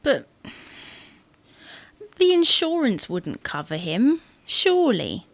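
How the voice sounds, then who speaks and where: Needling wife, played by a female actor, slightly high voice, defensive and matter-of-fact, pointed retorts for domestic audio comedy, dry sound. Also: huge pitch swings, slow, no echo, heavily acted. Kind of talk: comedy audio drama dialogue.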